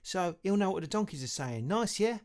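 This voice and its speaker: Cheeky wizard inventor, played by a man, chatty, matey, colloquial, highish storybook voice. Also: expressive, moderate pace, darkish tone.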